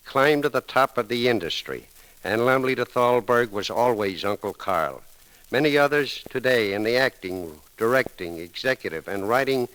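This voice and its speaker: A man's voice: medium pitch, a bit bright, low-quality recording. An American man reading a formal, solemn scripted tribute in an old 1930s radio broadcast recording. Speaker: radio eulogist.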